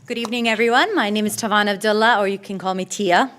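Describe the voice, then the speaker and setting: A middle-aged woman from Kurdistan, a native Kurdish speaker, giving an informal short speech in a chatty, excited and nervous manner. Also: mid-range voice, fast. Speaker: school principal introducing herself.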